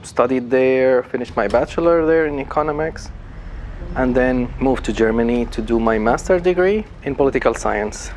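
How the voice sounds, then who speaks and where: A man's voice: medium pitch, moderate pace, a little mellow, slight background noise. A man in his thirties from Syria, a bootcamp student speaking candidly and reflectively in a personal interview. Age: 30s.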